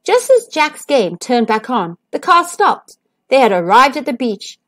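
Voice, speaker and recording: A woman storyteller reading to young children. Her voice is high and swings widely in pitch, with expressive, deliberate emphasis on key words. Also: no echo, clean studio sound. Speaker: storyteller and host.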